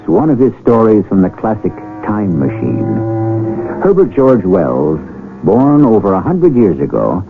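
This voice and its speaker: A man with a deep voice presents a radio mystery as its narrator. His scripted delivery swings expressively. The sound is thin old broadcast audio with loud music. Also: huge pitch swings, measured pace, narrow, tinny audio.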